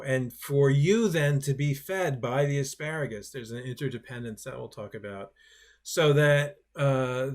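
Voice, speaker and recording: A man leads an online workshop over a video call at a mid pitch. He talks loosely and conversationally, with a self-deprecating touch. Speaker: workshop host. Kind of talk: webinar presentation.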